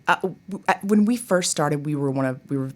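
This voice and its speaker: A woman's voice: low voice, expressive, a little fast, darkish tone. A woman chatting informally and expressively as an interview guest, like a college theatre professor. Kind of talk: casual interview chat.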